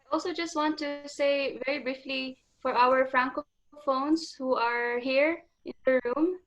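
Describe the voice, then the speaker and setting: A woman's voice: high-pitched, fairly even intonation, a little slow, fairly bright tone. A woman helping organize an online webinar, giving informal practical announcements to the participants. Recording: dull, lo-fi online call.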